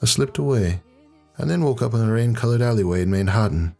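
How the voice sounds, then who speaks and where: A man playing a gruff, sardonic, world-weary hard-drinking rebel in a fan audio drama. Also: mid-range voice, lively intonation, fast, warm tone, soft background music. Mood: somber, reflective. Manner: sardonic, blunt.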